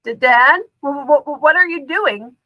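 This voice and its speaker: A woman's voice: high voice, measured pace, dry recording, dull audio, voice pushed up. A woman voicing an anxious young boy in a storybook, pleading and hesitant as he calls to his father. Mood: frightened. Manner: hesitant, stammering, earnest.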